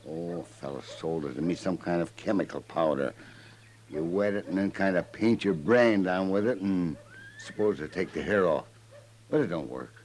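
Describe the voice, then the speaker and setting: A man's voice: deep voice, normal speed, a little mellow, lightly acted. An actor playing a talkative, self-deprecating stableman with a rural American accent in a 1950s radio western, plain-spoken and rambling. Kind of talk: 1950s radio drama acting. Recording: faintly noisy old radio recording.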